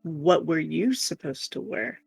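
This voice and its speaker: A man's voice: on the high side, lively intonation, a little slow, vocal fry, husky, no echo, faint music behind. A man chatting casually as a guest on a livestream, chiming in on someone else's story. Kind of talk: casual chat, interjecting.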